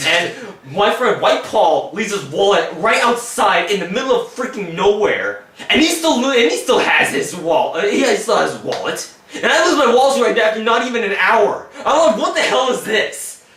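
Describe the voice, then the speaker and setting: A man's voice: high-pitched, big pitch swings, normal speed, a bit bright, far from the mic. A young man casually recounting a convention day, rambling with many fillers and swearing. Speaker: vlog co-host recounting a convention. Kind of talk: casual chat. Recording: roomy, from off mic, faint background.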